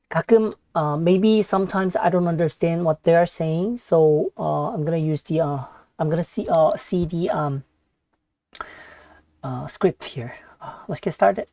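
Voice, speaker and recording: A Korean woman with a Korean accent, hosting a reaction video in halting English that slips into Korean when she searches for words. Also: lowish voice, mellow, no room sound. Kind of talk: casual reaction commentary.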